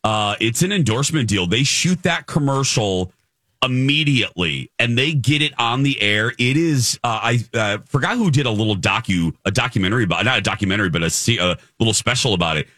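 A man co-hosting a morning radio show, chatting casually and playfully with animated delivery. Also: medium pitch, fairly quick, no room sound, clean studio sound.

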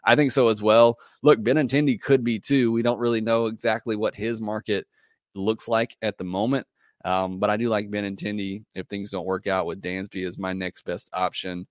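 A hoarse man with a lowish voice hosts a casual podcast, working through listener comments. He talks in a loose, hesitant way with plenty of fillers, recorded close and dry with no room sound. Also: fairly even intonation, normal speed, no room sound. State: hoarse, losing his voice. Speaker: podcast host.

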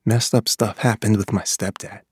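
A man's voice: lowish voice, flat delivery, normal speed, breathy edge, no room sound. A man reading an audiobook as a troubled young boy narrator who feels torn and confused. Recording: dry booth recording.

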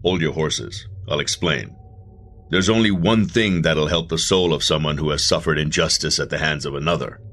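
A man voicing a cool afterlife agent, very deep. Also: moderate pace, a bit bright, exaggerated voice. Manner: cool, matter-of-fact, faintly mocking.

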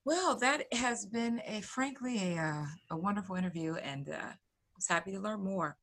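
Female podcast co-host, chatty presenter, expressive pitch, raspy edge. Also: moderate pace. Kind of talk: podcast hosting, chatty sign-off.

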